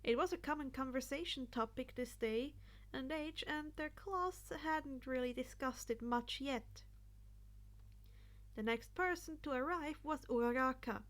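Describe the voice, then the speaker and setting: High-pitched woman reading a story. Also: fairly bright tone. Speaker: fan fiction narrator. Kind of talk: story reading with character voices.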